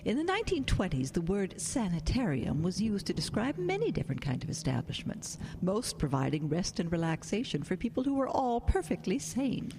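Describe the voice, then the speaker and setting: An actress playing a prim, excitable woman historian in a radio drama, with formal, theatrical diction. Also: medium pitch, a little fast, hardly any pauses, noisy background. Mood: prim, corrective.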